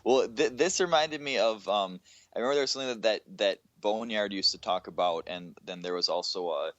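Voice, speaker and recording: A man with a low voice co-hosts a casual gaming podcast. He speaks informally and gives his opinions freely. The recording is dry and close, with very little room sound. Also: brisk, no echo, lo-fi sound. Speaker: podcast co-host.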